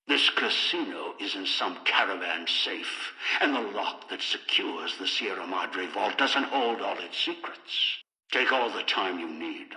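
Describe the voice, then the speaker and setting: An older actor playing a cold, paranoid, scheming old man in a video game, with deliberate, halting, contemptuous delivery. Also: on the low side, bright, forceful tone, echoey room, big-room sound, low-quality recording. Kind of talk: dramatic video game voice acting.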